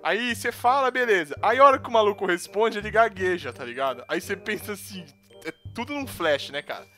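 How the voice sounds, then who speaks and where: A bright, high-voiced man with a slight stutter tells a story with swooping, lively pitch, slangy and laughing often. Faint music plays behind him. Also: brisk. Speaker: salesman, podcast guest. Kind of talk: casual chat among friends.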